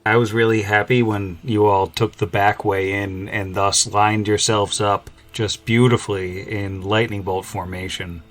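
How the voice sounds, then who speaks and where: Male game master, lower-leaning voice, casual and easygoing explainer. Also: little pitch movement, unhurried, hardly any pauses. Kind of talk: casual chat.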